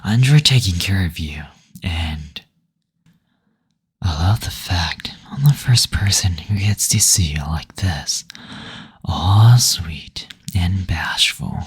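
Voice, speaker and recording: A man playing a teasing, gentle male demon in an intimate roleplay audio, speaking softly and breathily, often near a whisper, in a tender, unhurried manner. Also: low-pitched, expressive, breathy edge, full character voice. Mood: tender, reassuring.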